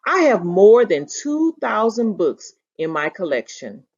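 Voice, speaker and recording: A woman reading the lines of a lively, well-travelled old seaman in a storybook, with a reflective storytelling manner. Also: mid-range voice, a little slow, husky, lo-fi sound, full character voice. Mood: fond, reflective.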